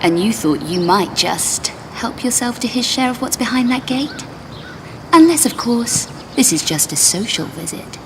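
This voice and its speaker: A woman's voice: a little high, lively intonation, normal speed, background noise. A woman voicing a confident, sardonic female adventurer in a video game, dry, taunting and unflappable. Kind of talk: video game cutscene voice acting. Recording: loud music under the voice. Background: game music.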